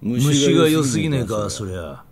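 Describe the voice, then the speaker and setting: An actor playing an indignant, hot-tempered police detective in a game drama, blunt and forceful. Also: male voice, mid-range voice, very animated, normal speed, a little hiss or hum, lightly acted. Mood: grudging. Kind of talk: dramatic video game cutscene acting.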